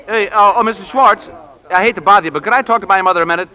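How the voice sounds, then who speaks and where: An actor playing an eager, earnest young office clerk in a 1940s radio drama. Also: male voice, sits high, rapid-fire, sharp tone. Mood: elated. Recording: vintage 1940s radio broadcast.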